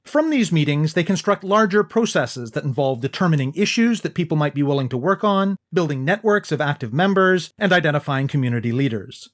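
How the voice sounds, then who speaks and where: A man hosting a radio show, reading a scripted introduction in a measured, clear way. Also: fairly high pitch, animated. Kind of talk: scripted narration.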